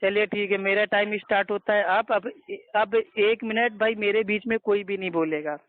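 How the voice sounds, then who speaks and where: A high-voiced man argues his side in a debate. He is insistent and keeps appealing to the moderator. The sound is a thin, tinny conference phone line. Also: steady tone, moderate pace. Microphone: telephone.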